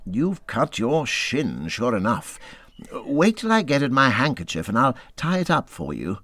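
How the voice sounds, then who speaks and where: A man's voice: medium pitch, huge pitch swings, a little slow, a bit bright, dry recording, heavily acted. A man voicing a kindly, practical water rat in a storybook reading, with a considered and reassuring manner. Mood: kindly, concerned. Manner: considered, reassuring, matter-of-fact. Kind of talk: storybook character voice in audiobook reading.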